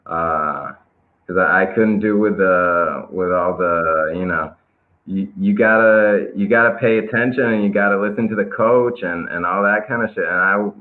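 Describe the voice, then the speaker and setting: A young man from Israel with an American-tinged Israeli accent, chatting casually as an interview guest, loose and hesitant with lots of fillers. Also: deep voice. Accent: American-influenced English, Israeli. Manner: laid-back, hesitant with many fillers and restarts. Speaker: guest skateboarder. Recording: tinny phone-line call audio.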